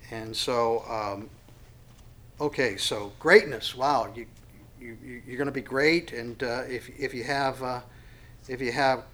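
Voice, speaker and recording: A man in his sixties leads an informal Bible study, speaking at an average pitch. He talks in a folksy, self-deprecating way, often restarting sentences and filling pauses with "uh.". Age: 60s. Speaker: pastor.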